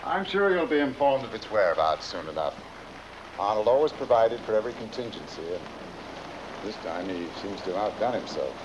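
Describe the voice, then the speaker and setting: Polite, evasive solicitor: dry, formal film dialogue, lower register, faint noise. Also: male voice, big pitch swings, fairly quick, highs cut off. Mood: politely evasive. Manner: courteous, formal, slightly hesitant. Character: polite, evasive family lawyer, drily genteel.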